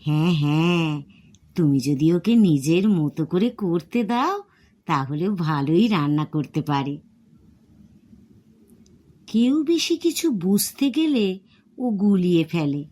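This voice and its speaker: A woman's voice: lowish voice, lively intonation, slow pace, long pauses, warm tone. A woman voicing a kindly middle-aged village mother-in-law in a cartoon story, affectionate and patient. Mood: gently advising.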